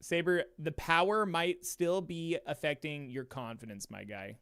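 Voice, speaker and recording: A man's voice: on the high side, measured pace, a bit bright, clean tone, no echo, clean studio sound. A man in his twenties hosting a livestream watch party, chatting casually in slang and laughing often, with a sniffly voice. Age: 20s.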